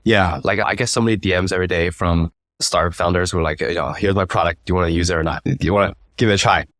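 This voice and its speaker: A man with a deep voice hosts an interview at a quick pace. His delivery is informal and conversational. The recording is dry and free of echo. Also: steady tone, a bit bright. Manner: informal, loosely phrased, conversational. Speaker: interviewer, podcast host. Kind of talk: casual interview chat.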